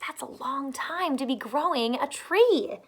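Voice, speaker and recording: High-pitched woman reading slowly to children. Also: expressive. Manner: instructive, asks questions and explains simply to young listeners. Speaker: teacher reading aloud to children. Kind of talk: children's picture-book read-aloud with explanatory asides.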